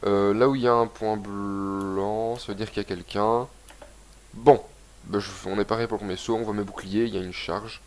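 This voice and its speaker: A man's voice: on the low side, fairly even intonation, normal speed, slight background noise. A young man with a cold giving rambling, casual commentary while playing a video game and reading its text aloud. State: has a cold, congested. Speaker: gaming video host. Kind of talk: casual let's-play commentary.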